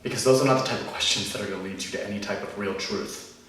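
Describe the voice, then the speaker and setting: A man acting a bitter, wounded, self-righteous church leader in a volatile, confrontational dramatic monologue, repeating phrases between long pauses. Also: lowish voice, fairly bright tone, lightly acted. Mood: bitter, controlled. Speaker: amateur actor performing a monologue. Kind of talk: dramatic film monologue performance. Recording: echoey room, far from the mic.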